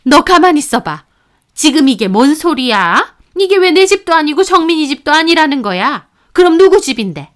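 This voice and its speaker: A woman voicing a shrill, scolding older mother-in-law in a narrated story. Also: sing-song, moderate pace, smooth. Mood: outraged. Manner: sharp, shrill, accusing.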